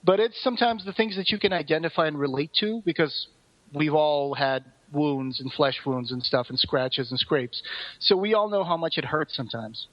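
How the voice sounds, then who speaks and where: A man with a slightly high voice talks casually as a podcast co-host. He explains things in careful detail. The sound is somewhat dull and muffled. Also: moderate pace, no room sound. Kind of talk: casual discussion.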